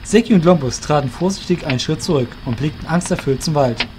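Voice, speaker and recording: A man's voice: fairly high pitch, animated, fast, background noise. A man narrating a fantasy audio drama from a script.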